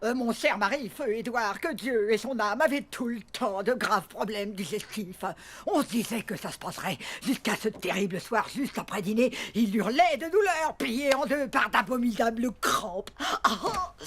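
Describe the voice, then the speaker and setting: A prim, touchy widow in a cartoon. The voice is slightly high and rough-edged, swinging dramatically up and down in wounded pride. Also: female voice. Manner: theatrical, indignant, swooping. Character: prim, easily offended widow, proud of her cooking. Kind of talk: cartoon voice acting.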